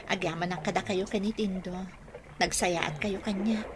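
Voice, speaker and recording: A woman's voice: mid-range voice, steady tone, normal speed, a little hiss or hum, lightly acted. A woman with an Ilocano Filipino accent playing an easygoing, modest young woman in an audio drama. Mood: relaxed, grateful. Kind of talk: amateur audio drama acting.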